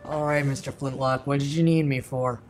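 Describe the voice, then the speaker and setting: A man's voice: mid-range voice, steady tone, brisk, natural voice. Man playing a dramatic teenage boy, music behind. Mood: brash, mocking. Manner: dramatic, slangy. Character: troubled teenage high school boy, brash and regretful. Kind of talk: amateur radio drama monologue and dialogue.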